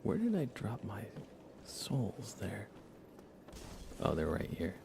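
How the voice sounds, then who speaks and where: Male ASMR game streamer: very low, gravelly, hushed and casual, with clear background noise. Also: huge pitch swings, slow pace. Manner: hushed, often slipping into whisper. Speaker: ASMR livestreamer. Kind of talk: soft-spoken ASMR gaming commentary, casual.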